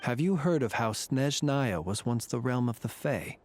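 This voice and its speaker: An actor playing a courteous, secretive lantern keeper in a video game, speaking in a formal, measured, wry way. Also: male voice, mid-range voice, animated, a little mellow, faint music behind, natural voice. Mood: candid, measured. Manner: formal, measured, dryly ironic.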